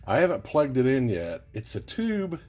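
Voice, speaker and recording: A man's voice: medium pitch, wildly swooping pitch, darkish tone. Rambling man in casual show-and-tell. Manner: rambling, halting, thinking aloud. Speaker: vlogger showing vintage audio gear.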